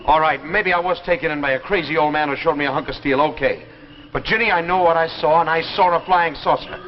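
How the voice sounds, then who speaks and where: An actor playing an intense, stubborn investigator in a 1950s drama, with an American accent and an earnest, clipped, insistent delivery. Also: male voice, on the high side, quick pace, natural voice. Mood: bitter, urgent. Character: intense, stubborn male investigator posing as newspaperman. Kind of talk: 1950s television drama acting. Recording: muffled 1950s soundtrack, faint noise.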